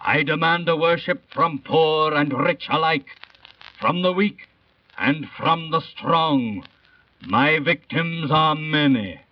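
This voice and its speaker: A grandiose, gloating god of fear, speaking very slowly in a raised male voice. He menaces like an old radio villain, on a dull 1930s recording. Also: fairly high pitch, distant mic. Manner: grandiose, menacing, drawn-out delivery. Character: Superstition, a gloating tyrannical false god who rules by fear. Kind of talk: 1930s radio drama narration.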